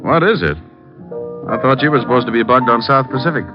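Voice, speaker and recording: An actor playing a cool, wisecracking private detective with a dry, needling manner, in 1940s radio style. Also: male voice, medium pitch, quick pace, natural voice. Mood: breezy teasing. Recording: dull vintage radio recording, music behind.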